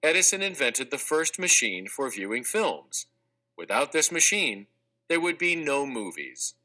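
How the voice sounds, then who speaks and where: A man narrating a children's textbook read-aloud in a clear, measured, expressive scripted delivery. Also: mid-range voice, low-quality recording. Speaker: audiobook narrator.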